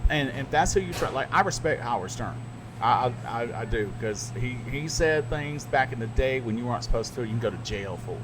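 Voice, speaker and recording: A middle-aged man with a Southern US accent, a radio broadcaster chatting casually on a podcast, animated and quick to jump up in pitch when he makes a point. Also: background noise. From American South. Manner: animated storyteller, jumps up in pitch when emphatic.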